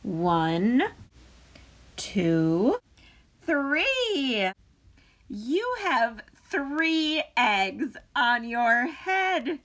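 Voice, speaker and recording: A woman voicing a calm, matter-of-fact female pig in a picture book read-aloud, speaking in a deliberate way. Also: on the high side, exaggerated voice.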